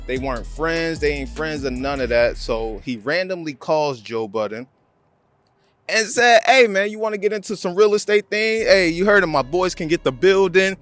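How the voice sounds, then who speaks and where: A man's voice: on the high side, animated, normal speed, a bit bright. A man with an American accent giving casual, slangy commentary as the host of a channel. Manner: slangy, conversational. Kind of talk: casual commentary on a video clip. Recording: music behind the voice. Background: background music.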